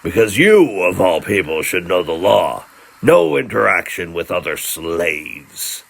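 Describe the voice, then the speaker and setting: A man performs a cruel fantasy king in a dramatic story. The voice is low and rough, with gravel in it. He is imperious and disdainful, and every phrase carries a threat. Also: sing-song, unhurried, heavily acted.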